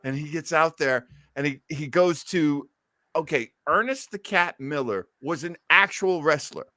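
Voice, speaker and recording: A man with a fairly high, forceful voice talks casually and emphatically, like someone used to telling stories. The sound is dry and close, and he leans on key words as he goes. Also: a little slow, no echo. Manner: animated, emphatic storyteller. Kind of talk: casual chat about pro wrestling.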